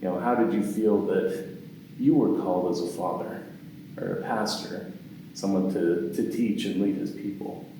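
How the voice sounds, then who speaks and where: Mellow-voiced interviewer in an echoey room. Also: male voice, deep voice, moderate pace. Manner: easygoing, filler-heavy questions. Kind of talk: interview questions. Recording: echoey room, mic far off, faint noise.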